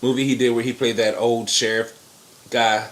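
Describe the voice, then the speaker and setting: A man giving blunt, profane opinions in casual chat as co-host, with sudden jumps in pitch. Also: little pitch movement, normal speed, a bit bright, rough recording.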